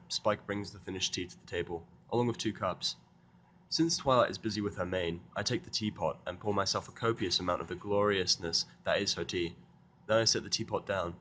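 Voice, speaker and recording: Male narrator, lowish pitch, sardonic, first-person fan fiction reading, dramatized storytelling. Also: sharp tone, slight background noise.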